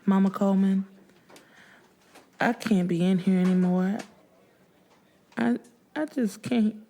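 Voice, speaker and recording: A woman's voice: mid-range voice, completely flat delivery, warm tone, slight background noise, lightly acted. A woman voicing a young pregnant widow in an audiobook, heartbroken, by turns faltering and bitterly lashing out. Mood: numb, faltering. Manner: halting when numb, screaming when enraged.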